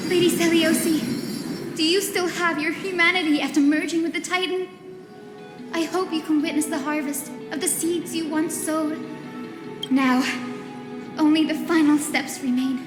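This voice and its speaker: A woman playing a gentle, resolute young priestess in a video game, earnest and formal. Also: extremely high pitch, fairly even intonation, moderate pace, pauses often. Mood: reverent pleading. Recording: echoing hall, loud music.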